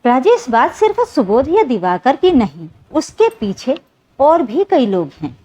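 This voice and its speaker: A woman's voice: high-pitched, sing-song, unhurried, warm tone, heavily acted. A woman voicing a protective, determined mother in a story, speaking earnestly and urgently. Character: protective, determined mother fighting for her daughter.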